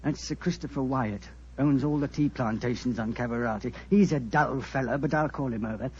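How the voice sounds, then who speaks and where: An actor in an old-time radio drama playing a chatty, needling older colonial gentleman, familiar and sardonic. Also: mid-range voice, fairly quick, breathy edge. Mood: gossipy. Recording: dull vintage radio recording.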